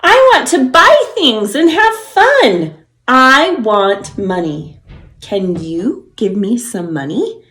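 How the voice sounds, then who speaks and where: A woman's voice: medium pitch, sing-song, slow pace, bright, forceful tone, exaggerated voice. A woman voicing a restless, wanting boy who is growing up, read in an insistent way from a children's storybook. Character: restless, wanting boy grown into young man.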